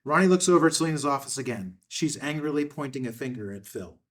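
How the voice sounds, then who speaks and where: A man's voice: mid-range voice, lively intonation, fast, recorded from a distance. A man reading screenplay stage directions as scripted narration. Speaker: stage directions reader at a table read.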